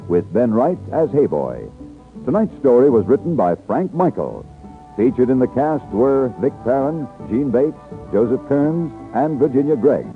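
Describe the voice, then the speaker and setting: An American man reading a scripted announcement in 1950s radio announcer style. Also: medium pitch. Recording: tinny, muffled old radio broadcast.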